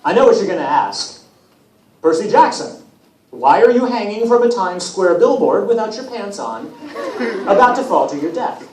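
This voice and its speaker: A man reading aloud as a wry, put-upon teenage boy demigod, telling his own story with self-deprecating, deadpan humour. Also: high voice, normal speed, pitched above the performer's own voice. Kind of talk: first-person storybook reading. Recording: echoey room from far off, hissy noise.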